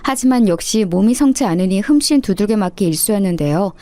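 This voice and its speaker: A woman's voice: mid-range voice, lively intonation, a little fast, warm tone, dry recording. A woman reading a scripted first-person story as a narrator.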